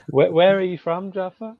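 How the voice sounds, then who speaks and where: A man from England with a Birmingham accent and a deep voice, chatting casually as a conversation tutor. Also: fairly even intonation, measured pace, darkish tone, no echo. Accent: Birmingham English. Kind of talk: casual chat. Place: online video call. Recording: dull lo-fi call audio.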